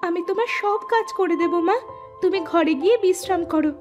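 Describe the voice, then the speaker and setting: A woman's voice: very high-pitched, fairly quick, a little mellow, highs cut off, soft background music. A woman voicing a meek, dutiful young stepdaughter in a cartoon, submissive and placating. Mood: meek, pleading. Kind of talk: Bengali cartoon voice acting.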